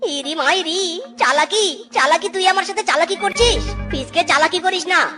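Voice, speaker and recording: Squeaky, whiny greedy boy critter. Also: music in the background. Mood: greedy glee. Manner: wailing, drawn-out childish delivery. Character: whiny, greedy, babyish young male cartoon animal. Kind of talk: children's cartoon voice acting.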